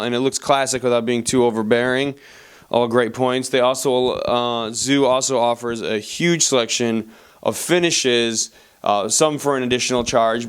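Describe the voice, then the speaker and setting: A man's voice: mid-range voice, clear, resonant tone. A man giving a casual voice-over product review, speaking as a reviewer to viewers.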